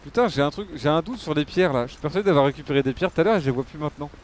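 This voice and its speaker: A man's voice: on the high side, expressive, racing, slight background noise. A man giving casual live game commentary, muttering to himself and swearing freely. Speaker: game streamer.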